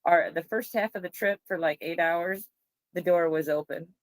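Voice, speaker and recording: A woman's voice: on the low side, normal speed, dry recording. A woman from the Chicago area with a Chicago accent, chatting casually as a guest on a livestream. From Illinois, Chicago area.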